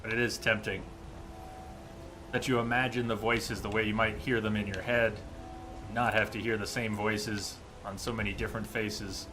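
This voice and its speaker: A man's voice: fairly low pitch, flat delivery, normal speed, background noise. A man giving casual, musing live commentary as he streams a video game. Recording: faint game rain behind voice. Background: game ambience and rain.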